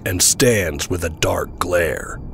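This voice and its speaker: A man's voice: low voice, slow pace, vocal fry, a little hiss or hum. A man reading scripted narration for an action audio drama. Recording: voice over loud trailer music.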